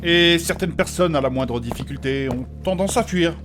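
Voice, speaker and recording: A man's voice: fairly high pitch, moderate pace, over music, natural voice. A man playing a formal, courteous, earnest and moralizing companion in a comedic fantasy audio drama.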